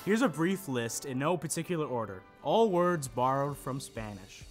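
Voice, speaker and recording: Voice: medium pitch, expressive, moderate pace, soft background music. A performer reciting scripted lines and quotations in a readers theater ensemble.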